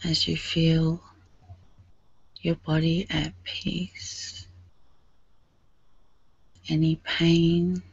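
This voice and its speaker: A woman leading a guided meditation in a soothing, hushed and breathy manner, leaving long pauses. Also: low voice, flat delivery, no echo, highs cut off.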